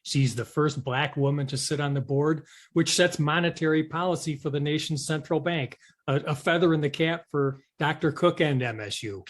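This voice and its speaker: Male interview host, somewhat high-pitched, delivering scripted radio-style setups and questions to a guest. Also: moderate pace.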